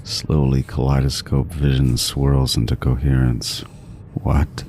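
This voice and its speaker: A man's voice: extremely low pitch, little pitch movement, slow pace, a little mellow, slight background noise. A man narrating a horror story dramatically in the first person as a menacing, panicky serial killer, with a theatrical delivery. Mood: dazed, ominous. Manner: theatrical, swinging from brooding to frantic. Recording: music behind the voice.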